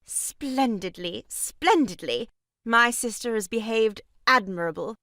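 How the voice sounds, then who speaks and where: Prim married lady, animated pitch. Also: slow pace, no echo, studio quality, exaggerated voice. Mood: dryly polite. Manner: prim, formal, dryly polite. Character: composed, dryly polite married lady, new aunt. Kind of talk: comic stage farce acting.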